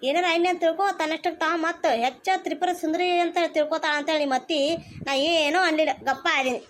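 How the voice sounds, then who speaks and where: Woman voicing a very high-pitched nagging village mother. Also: fairly even intonation, quick pace. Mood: sympathetic. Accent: North Karnataka Kannada. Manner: chatty, nagging, repetitive. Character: scolding, doting village mother in a comedy cartoon. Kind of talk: rustic comedy cartoon dialogue.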